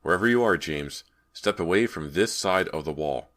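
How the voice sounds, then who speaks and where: A man's voice: low-pitched, lively intonation, moderate pace, a bit bright. A man voicing a nervous teenage boy, speaking in urgent, raised calls. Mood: urgent, anxious.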